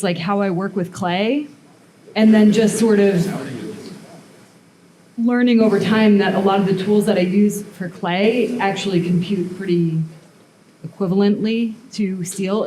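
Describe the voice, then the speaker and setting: A woman's voice: medium pitch, dark tone, poor audio. Woman talking through a live metalwork demo. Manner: casual, explanatory, thinks aloud with fillers and restarts. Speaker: blacksmith and sculptor giving a live demo. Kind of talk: live workshop demonstration to an audience. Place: metal workshop at a smithing event.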